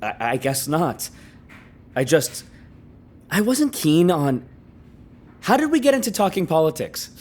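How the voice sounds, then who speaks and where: A man's voice: fairly high pitch, sing-song, a little slow, long pauses, darkish tone. An actor playing an indignant, rattled young male grad student who protests with exasperation in a naturalistic audio drama. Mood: sullen, uneasy.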